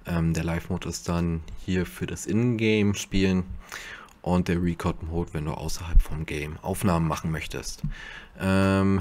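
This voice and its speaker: A man narrating a casual software tutorial, explaining step by step in an informal, slightly hesitant way. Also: low-pitched. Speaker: YouTube tutorial host.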